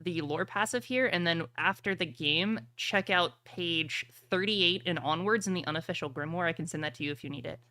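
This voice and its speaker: A woman's voice: on the low side, brisk, few pauses, pushed and bright. A woman running a tabletop roleplaying game, narrating and giving rulings in casual talk. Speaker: game master.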